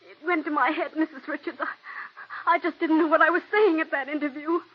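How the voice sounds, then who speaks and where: An actress playing a young, ambitious stage actress who pleads tearfully and breathlessly, in 1940s radio drama style. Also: female voice, high voice, fairly even intonation, fairly quick, natural voice. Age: young adult. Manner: breathless, pleading, breaks into sobs. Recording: dull old radio broadcast.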